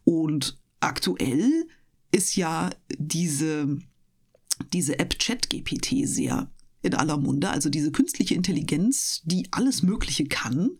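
A woman's voice: low voice, lively intonation, takes it slowly, breathy edge. A woman chatting casually as an interview guest, explaining things at length in a talkative, storytelling way. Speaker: interview guest, voice performer and live reader.

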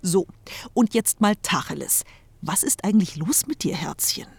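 A woman's voice: on the low side, lively intonation, moderate pace, vocal fry, slightly rough, no echo, faint music behind, exaggerated voice. A woman playing a teasing, flirtatious storyteller and confidante in a comic audio drama, cooing pet names theatrically. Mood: teasing, coaxing.

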